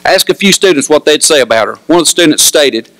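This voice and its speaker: A man speaks as a principal at a school ceremony. His voice sits a little high for a man and sounds close and dry on the microphone. He delivers formal thanks and introductions in a measured, courteous manner. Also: expressive, fairly bright tone, no room sound, rough recording. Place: auditorium.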